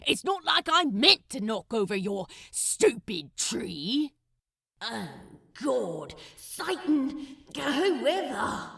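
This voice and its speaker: Casting: female reader as a male demon in an audiobook tale, flustered and stammering, high-set voice, very animated, dry sound. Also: very slow, creaky, breathy edge, no echo, exaggerated voice. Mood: defensive, exasperated. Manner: stammering, pleading. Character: flustered apologetic male demon, defensively snappish when cornered. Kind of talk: dramatized fan fiction reading.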